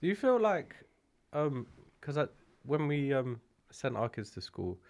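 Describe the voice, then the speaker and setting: A man's voice: medium pitch, very animated, unhurried, darkish tone. A man co-hosting a podcast, easygoing and chatty. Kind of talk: casual podcast chat.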